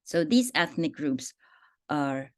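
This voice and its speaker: A woman's voice: low-pitched, expressive, dry recording, studio quality. A woman from Indonesia with an Indonesian accent, teaching everyday phrases and repeating each one with its translation, with frequent fillers. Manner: explains slowly, repeats phrases and their translations, many fillers. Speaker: language teacher. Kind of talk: spoken language lesson.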